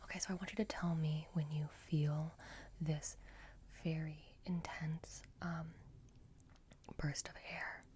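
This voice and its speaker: A woman whispering in a breathy, gentle and reassuring murmur as she performs a soft-spoken ASMR roleplay. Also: low voice, flat delivery, slow pace, pauses often, background noise.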